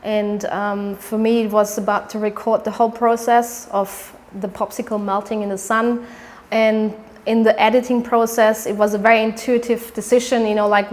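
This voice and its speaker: A German woman speaking English with a German accent, describing her photographic work in halting speech with many fillers and restarts. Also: medium pitch, a little mellow. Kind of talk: artist interview monologue.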